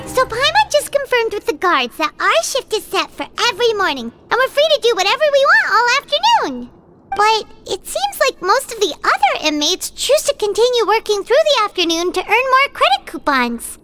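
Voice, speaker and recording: Tiny game sidekick girl: extremely high, squeaky, bouncy delivery, excitable and easily scared, music underneath. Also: moderate pace. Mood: explaining. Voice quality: squeaky, childlike. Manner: refers to self in third person, exclamatory. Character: small childlike girl companion, excitable, food-loving, easily scared. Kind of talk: animated video game voice acting.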